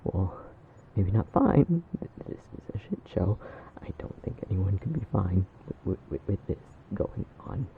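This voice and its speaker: A man acting an easily flustered, anxious young boyfriend in an ASMR roleplay, stammering nervously in a breathy, husky voice. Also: sits low, very animated, a little slow, narrow, tinny audio, a little hiss or hum, exaggerated voice. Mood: flustered, resigned.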